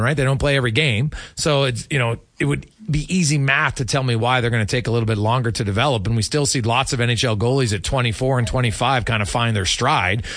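A man's voice: mid-range voice, a bit bright, dry recording. Male sports host, close dry sound. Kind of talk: conversational hockey interview.

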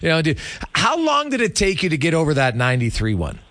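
A man's voice: a little high, wildly swooping pitch, fast talker, fairly bright tone. A man hosting a casual radio sports interview, asking questions in a chatty, informal way.

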